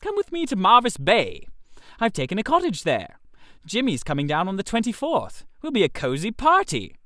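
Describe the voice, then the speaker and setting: A man's voice: high voice, huge pitch swings, normal speed, pushed and bright, no room sound, studio quality, exaggerated voice. A man voicing a chatty, cheerfully dim upper-class young idler, telling a comic story in breezy slang. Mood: bracing encouragement. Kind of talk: comic first-person storybook narration.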